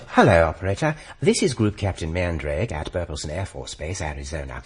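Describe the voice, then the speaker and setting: A man acting a polite but flustered British RAF officer in a radio play, with an upper-class English accent, breathy voice and exasperated stammer. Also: on the low side, heavily acted. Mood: strained politeness.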